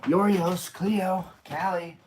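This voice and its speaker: A man's voice: sits high, fairly even intonation, takes it slowly, a little mellow, slight background noise. A young man hosting a casual live stream from home, chatty and rambling with lots of fillers and restarts. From United States. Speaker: streamer, host of a card-collecting channel. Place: home room.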